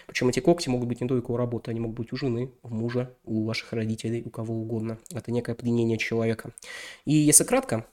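Fast-talking man rambling through a book review. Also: mid-range voice, fairly even intonation, darkish tone. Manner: rambling, many fillers and self-corrections. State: slightly ill. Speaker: podcast host reviewing a novel. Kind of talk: casual spoken book review.

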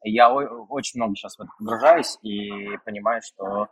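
A man's voice: on the low side, steady tone, moderate pace, a bit bright, poor audio. A man talking casually as the guest of a podcast, explaining at length with restarts. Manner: talkative, restarts and fillers while explaining. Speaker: podcast guest, film director. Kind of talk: casual conversation.

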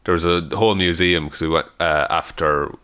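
A man's voice: low voice, a little fast, smooth. A man co-hosting a podcast, bantering casually with joking, sweary commentary. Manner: animated, joking, swearing, mock-indignant. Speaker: podcast co-host.

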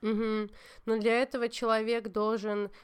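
A young woman chatting casually, telling animated stories full of fillers and asides. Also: a little high, a little slow, smooth. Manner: animated storytelling, many fillers. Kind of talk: casual chat.

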